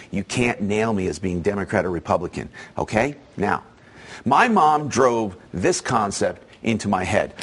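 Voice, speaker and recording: A man with a husky voice leads an opinion segment as the show's host. His delivery is emphatic and persuasive, and his pitch rises and falls widely as he speaks straight to the audience. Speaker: show host. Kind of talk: opinion commentary monologue.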